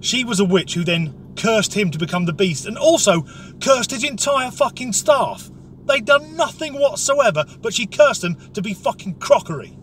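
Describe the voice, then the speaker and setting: High-voiced sardonic Englishman, noisy background. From Norwich area, England. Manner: sweary, sardonic, blunt. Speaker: comic commentator. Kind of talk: opinionated comic rant.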